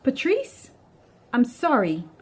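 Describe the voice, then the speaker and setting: A woman's voice: on the high side, huge pitch swings, slow pace, a little mellow, breathy edge, full character voice. A woman voicing a prim, condescending female hotel manager, officious and falsely polite, in a dramatic script reading.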